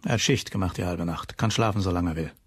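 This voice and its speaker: Weary gruff lighthouse chief. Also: male voice, low voice, fast talker, no echo. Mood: weary, irritated. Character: gruff, weary male head keeper of a lighthouse. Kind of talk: German radio crime drama acting.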